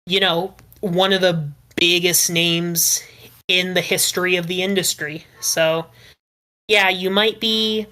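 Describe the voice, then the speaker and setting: A woman with a slightly low voice talks informally as a guest in a group conversation. Her delivery is earnest and supportive, the unscripted talk of a podcast panelist. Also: pushed and bright. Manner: earnest, encouraging. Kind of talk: casual group chat.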